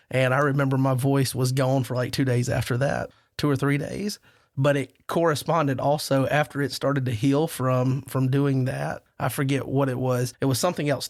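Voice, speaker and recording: A man's voice: mid-range voice, no echo, studio quality. A man hosting a casual podcast roundtable, telling stories in a rambling, self-deprecating way. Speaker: podcast host.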